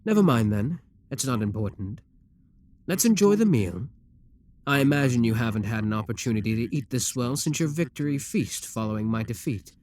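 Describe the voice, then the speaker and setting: Guy voicing a scheming possessive demon king, calm and coaxing, voice swoops up and down, dry close mic. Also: mid-range voice, normal speed, dry recording, natural voice. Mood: musing. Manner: smooth, calm, coaxing and self-assured. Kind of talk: audio roleplay addressed to the listener.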